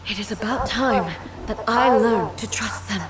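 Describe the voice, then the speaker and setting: An actress as a dignified warrior goddess with a fairly high voice, formal and grave. She speaks with measured resolve in an anime game style, heard roomy and from a distance with noise behind. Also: lightly acted. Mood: resolute. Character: solemn, resolute female deity ruler, dignified warrior.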